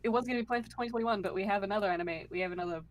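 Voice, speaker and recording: A woman in a casual podcast chat, speaking as a guest at a medium pitch. Her delivery is halting and giggly, with sentences that restart as she explains things. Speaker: podcast guest, animated series creator.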